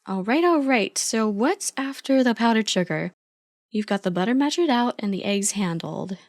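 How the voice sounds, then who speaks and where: A woman's voice: fairly high pitch, lively intonation, moderate pace, no echo, clean studio sound. A woman playing an affectionate, playful girlfriend in an ASMR roleplay, chatty, teasing and supportive as an eager but clumsy baker. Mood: playful, amused.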